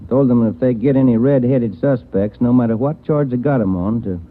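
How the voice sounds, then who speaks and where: A man's voice: mid-range voice, brisk, close to an everyday voice. Folksy male detective partner played by a man, mid-range, plain-spoken, vintage radio drama with boxy narrow sound. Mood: matter-of-fact. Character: easygoing, folksy male police detective sidekick. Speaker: actor playing detective partner. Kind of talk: 1940s radio crime drama acting. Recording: muffled 1940s radio recording.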